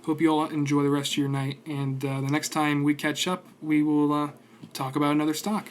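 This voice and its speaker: A man in his twenties talks through his stock picks in a loose, rambling way. His voice sits a bit high, and he keeps restarting sentences and filling gaps with ums and uhs. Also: level tone, brisk, slight background noise. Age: 20s. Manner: rambling, many fillers and restarts. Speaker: stock trading streamer. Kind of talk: casual stock commentary stream.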